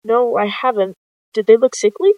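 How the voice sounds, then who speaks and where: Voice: medium pitch, expressive, moderate pace, dry recording, muffled recording, lightly acted. Narrator voicing a concerned male cat deputy. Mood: puzzled. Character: worried male cat deputy, questioning and insistent.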